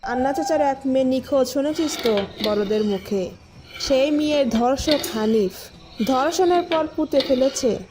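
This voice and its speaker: A woman's voice: high voice, moderate pace, noisy background, lightly acted. A woman acting a grave, secretive village woman in a cartoon story drama. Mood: grave, confessing.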